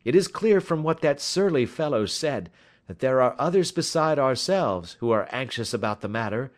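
A man voicing a shrewd, urbane gentleman detective, polite, precise and blandly self-assured, in a storybook reading. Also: mid-range voice, animated, moderate pace, voice pushed up, natural voice. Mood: amused, confident.

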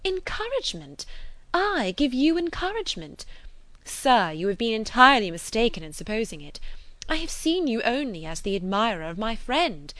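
A woman's voice: mid-range voice, very animated, brisk, fairly bright tone, no room sound, voice pushed up. A woman voicing a proud, well-bred young lady in a classic novel, formal, emphatic and indignant. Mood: affronted, cool. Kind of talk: dramatised classic novel reading.

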